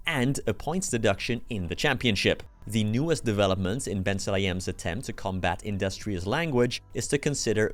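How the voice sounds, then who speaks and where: A man presenting scripted sports news as the host of an online video. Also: mid-range voice. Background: background music.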